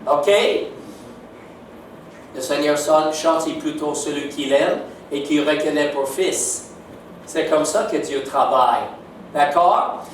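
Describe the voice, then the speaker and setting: A man's voice: fairly high pitch, steady tone, slow, pauses often, a little hiss or hum. An older man with an English Canadian accent, a native English speaker preaching a sermon in French, emphatic and often checking in with his listeners. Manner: warm, emphatic, keeps asking "n'est-ce pas?". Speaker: guest preacher. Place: church sanctuary. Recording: echoey church hall from far off.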